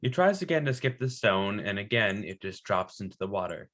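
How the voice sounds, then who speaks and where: A man's voice: lowish voice, big pitch swings, a little fast, a bit bright. A man narrating script directions at a table read. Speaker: stage directions reader.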